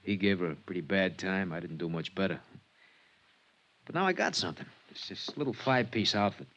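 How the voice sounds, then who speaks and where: An American man acting a downhearted small-time bandleader in a 1950s radio drama, halting, wistful and slangy. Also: low voice, animated, a bit bright. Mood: wistful, regretful. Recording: muffled vintage radio recording.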